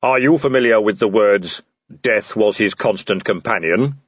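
Man acting out male grim reaper, calm, formal, deadpan, literal-minded, husky, storybook delivery, dry recording. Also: lowish voice, normal speed, no echo, muffled recording, natural voice. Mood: deadpan, courteous.

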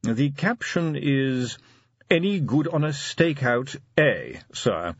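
Man acting a formal, unflappable butler, lilting pitch. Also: very animated, slightly rough, dry recording, lo-fi sound, exaggerated voice. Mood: cautious, deadpan. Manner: precise, measured, politely dry. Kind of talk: audiobook character acting.

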